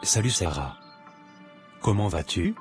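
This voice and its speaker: Man as a sincere young cartoon hero, very deep voice, clear music behind. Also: lively intonation, slow pace. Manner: earnest, sincere. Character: earnest young man, a lawyer. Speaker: narrator and protagonist.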